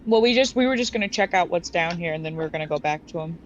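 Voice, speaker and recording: A woman's voice: fairly low pitch, expressive, racing, lo-fi sound, a little hiss or hum. A woman playing a tabletop game, chatting casually in character. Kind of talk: casual in-character roleplay chat.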